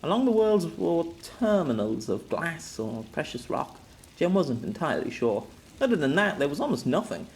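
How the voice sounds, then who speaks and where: A man reading his own fantasy novel aloud as an audiobook, in an even, unhurried narration. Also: fairly high pitch, creaky, a little hiss or hum.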